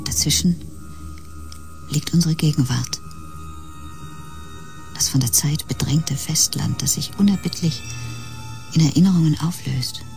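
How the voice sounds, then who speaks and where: An actress playing a wistful woman in a poetic audio drama monologue, breathy and half-whispered, brooding slowly. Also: sits low, darkish tone. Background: music.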